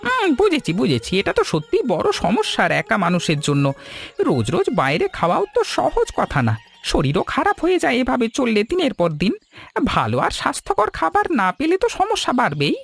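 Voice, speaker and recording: A woman voicing a friendly, inquisitive older male tea stall keeper in an animated storybook cartoon, chatty and sympathetic. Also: fairly high pitch, fairly quick, gravelly, exaggerated voice. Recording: music bed under the voice.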